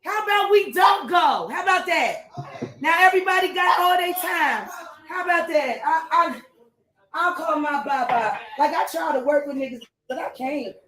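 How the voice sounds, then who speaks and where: A woman with a very high, big voice talks loudly and brashly on a livestream, swearing often. Her voice rings in an echoey room. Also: normal speed. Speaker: livestreamer in a clip. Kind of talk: livestream clip.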